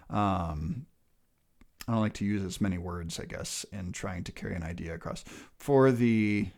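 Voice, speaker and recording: A man with a lowish voice talks through a screen-share study. He thinks aloud, stopping for ums and restarting his sentences as he goes. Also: darkish tone. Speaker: channel host teaching a manuscript study. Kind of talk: casual screen-share walkthrough.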